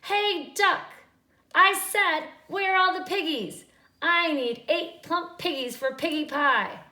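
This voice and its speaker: A woman's voice: very high voice, slow, pitched above the performer's own voice, exaggerated voice. A woman reading aloud and voicing a greedy, bossy witch who screeches and hurls insults. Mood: bossy, screeching. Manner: shouting insults. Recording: roomy echo.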